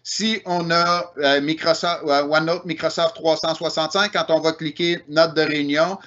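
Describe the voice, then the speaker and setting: A man with a Québécois French accent walks through software features in a steady, explanatory way, often pausing on "euh" and "ben". His voice sits a little high for a man. The audio is muffled and lo-fi, like a video call. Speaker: presenter. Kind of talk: online technical presentation.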